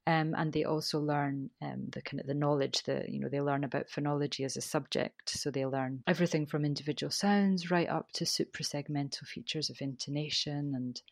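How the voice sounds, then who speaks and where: A woman's voice: low-pitched, steady tone, a little fast, creaky, hardly any pauses, dry recording, studio quality. Scottish woman, teacher trainer. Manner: thoughtful, many restarts. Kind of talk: interview answers.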